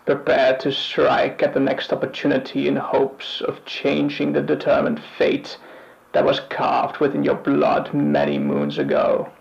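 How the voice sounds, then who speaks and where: A man playing a bleak, weary otherworldly narrator in an analog horror piece, speaking solemnly and deliberately with a gravelly voice. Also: fairly high pitch, highs cut off. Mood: grim, menacing. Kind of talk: slow scripted analog horror monologue.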